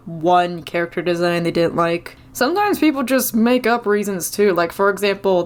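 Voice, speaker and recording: A woman with a slightly low voice delivers an informal gaming commentary. She talks conversationally and bluntly, with strong opinions, sounding like someone who recently got out of bed. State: just woke up. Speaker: video game commentator. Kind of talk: casual opinion rant, lightly scripted commentary.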